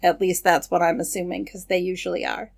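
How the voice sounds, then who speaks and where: A woman co-hosting a podcast, reading news items in a steady, matter-of-fact way with casual asides. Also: fairly low pitch, brisk, gravelly. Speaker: podcast co-host.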